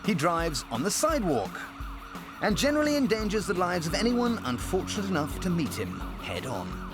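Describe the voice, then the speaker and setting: A man narrates police footage with a wry, teasing delivery, his pitch rising and falling widely as he lands each joke. A music bed plays clearly under him. It is polished scripted voice-over. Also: wildly swooping pitch, moderate pace. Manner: dry, mocking, tongue-in-cheek.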